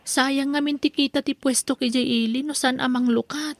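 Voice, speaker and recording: Woman acting as plain-spoken mother, high voice, expressive conversational delivery, comedy radio drama, dry sound. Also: moderate pace, dry recording. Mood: matter-of-fact.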